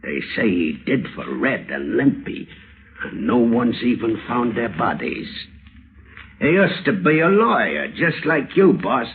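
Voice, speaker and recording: An actor playing an uneducated, crooked drifter in an old-time radio thriller, with rough, slangy, ungrammatical speech. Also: male voice, medium pitch, sing-song, unhurried. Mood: nervous, confiding. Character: uneducated crooked drifter, boastful drunk turning terrified. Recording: scratchy, tinny old radio recording.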